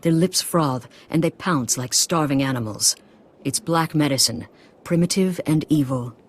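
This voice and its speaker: An actor playing a humble, solemn village healer-priest in a video game, formal, courteous and ominous. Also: male voice, fairly high pitch, moderate pace, natural voice. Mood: solemn urgency. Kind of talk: video game dialogue acting.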